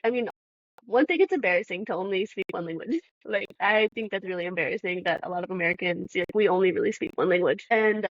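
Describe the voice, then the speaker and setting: A woman's voice: mid-range voice, fast, no echo, muffled recording. A woman podcast host from Southern California, chatting in an upbeat, casual way and laughing easily. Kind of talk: casual chat.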